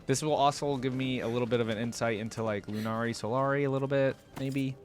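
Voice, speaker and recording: A man's voice: medium pitch, steady tone, fast, faint music behind. A man hosting a reaction video, musing and thinking aloud in casual commentary.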